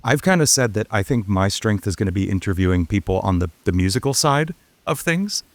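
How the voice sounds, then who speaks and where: Gravelly low-voiced male podcast host. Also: expressive, fairly quick, dry recording. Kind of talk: casual interview hosting.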